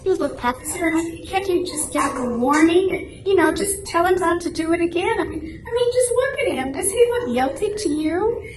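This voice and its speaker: A woman's voice: very high-pitched, lively intonation, normal speed, a crowd in the background. A woman acting an exasperated, scolding wife who fires incredulous questions in an amateur radio drama reading. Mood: pleading, concerned. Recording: echoey room, noticeable background noise.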